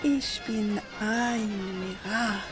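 An actress playing a sultry, obliging German chauffeur with a German accent and a flirtatious, drawn-out delivery. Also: female voice, medium pitch, over music, natural voice. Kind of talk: comic radio drama acting.

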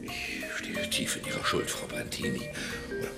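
An actor playing a smarmy, pompous politician in a radio drama, with a theatrical, formal way of talking. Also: male voice, moderate pace, roomy sound, over loud music, lightly acted. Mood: flattering, amorous. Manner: theatrical, gushing, formal address. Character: smarmy, flattering male politician, pompous and amorous.

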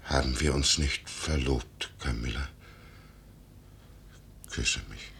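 Very deep, husky man as tender suitor. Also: steady tone, slow, a bit bright, heavily acted. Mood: tender, hopeful. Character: melancholy, good-hearted Italian suitor, tender and earnest. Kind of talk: German radio play dialogue.

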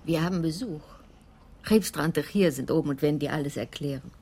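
A woman's voice: sits low, brisk, warm tone, breathy edge. A female actor in a radio crime drama playing a homely, hospitable older wife. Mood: welcoming.

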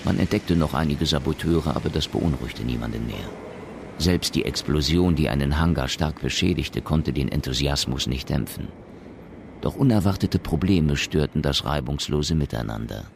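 A man narrating a science fiction radio drama in a measured, matter-of-fact way. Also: extremely low pitch, vocal fry, a little hiss or hum.

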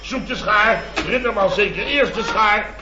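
Blustering high-voiced boss, old radio comedy. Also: male voice, a little slow, noisy background. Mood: frantic, exasperated. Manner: indignant, sputtering, repeats himself, pompous. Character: blustering, exasperated male boss of a building firm. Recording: dull old radio recording, roomy, studio audience.

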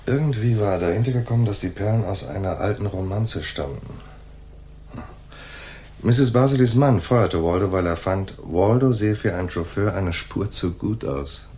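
Noir gumshoe, male actor: low, dry, matter-of-fact telling of his case, radio play with some noisy ambience. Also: normal speed, darkish tone, close to an everyday voice. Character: laconic hardboiled male private detective narrating his case. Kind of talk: 1980s radio noir narration and dialogue.

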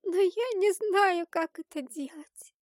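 Woman voicing a trembling, high-pitched, distraught young woman. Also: takes it slowly, a little mellow, dry recording, studio quality. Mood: helpless.

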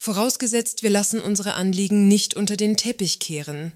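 Woman acting as works council rep, confident, provocative, mid pitch, radio satire, dry studio sound. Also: a little fast, darkish tone, dry recording, close to an everyday voice. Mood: confident, pointed. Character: self-assured, provocative female works council member. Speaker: actor in satirical radio play. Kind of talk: German radio play acting.

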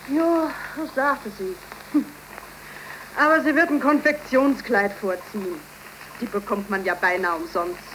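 An actress playing a cheerful, devoted young seamstress in love, in a 1930s German radio play, with an eager, expressive delivery. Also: female voice, a little high, a little slow. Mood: earnest, hopeful. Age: young adult. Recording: noisy 1931 radio recording.

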